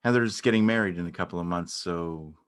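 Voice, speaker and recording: Actor as awkward, kindly man for stage dialogue; deep voice, tentative, understated, circling back over his words. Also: fairly quick, smooth, lightly acted. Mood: awkward, friendly. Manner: hesitant, repeats himself, understated. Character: gentle, awkward, anxiously supportive man. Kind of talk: dramatic reading of a stage play dialogue.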